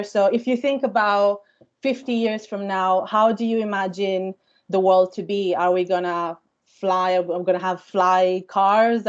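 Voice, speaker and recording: A woman's voice: mid-range voice, a little mellow, muffled recording. A woman in her thirties from Italy with an Italian accent, a company founder giving a careers talk to students, thoughtful with many ums and uhs.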